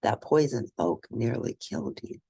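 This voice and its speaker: A woman's voice: very deep voice, lively intonation, moderate pace, creaky, warm tone, slightly rough, dry recording, exaggerated voice. A woman voicing a kind caregiver in a children's storybook, tender and comforting. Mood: gently chiding.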